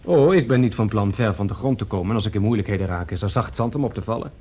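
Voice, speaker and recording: Man acting confident aeroplane pioneer, fast-talking, slightly high husky voice, matter-of-fact, radio drama. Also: mellow, natural voice. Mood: assured. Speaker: actor in a radio play.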